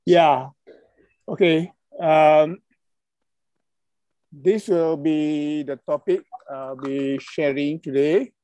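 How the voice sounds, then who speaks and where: A middle-aged man from Malaysia giving an online conference talk over a video call, halting and hesitant, with many fillers and apologies. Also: a little high, steady tone, warm tone, clear, resonant tone, no echo. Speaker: conference speaker. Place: indoors at a computer.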